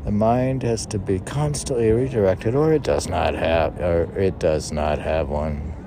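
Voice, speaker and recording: A man reading his own poems aloud outdoors, line by line in a halting way, with casual asides. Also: deep voice. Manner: halting, spells out words, reads aphorisms line by line. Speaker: poet reading own work. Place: outdoors on a city museum lawn. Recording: outdoor recording with clear urban noise.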